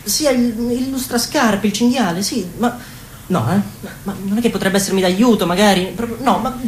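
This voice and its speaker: A woman's voice: mid-range voice, normal speed, natural voice. A woman acting a timid, anxious government minister in a stage drama, speaking in hesitant, stammering bursts. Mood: nervous, fawning. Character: anxious, timid party minister, a married father of four. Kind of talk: stage monologue and dialogue. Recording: roomy echo with noticeable faint noise.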